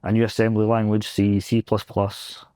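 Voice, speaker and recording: A man's voice: fairly low pitch, monotone, moderate pace, dark tone, clear, resonant tone, dry recording. A young Scottish man with a Glaswegian accent, chatting casually and self-deprecatingly as an interview guest. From Scotland. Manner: rambling, self-deprecating, laughs often. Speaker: pentest engineer guest. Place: convention floor.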